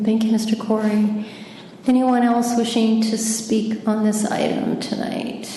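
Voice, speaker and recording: A woman chairing a public hearing, brisk and procedural. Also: mid-range voice, gravelly, mellow, a little hiss or hum. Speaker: commission chair. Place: city council chamber. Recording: distant, very echoey chamber with long reverb.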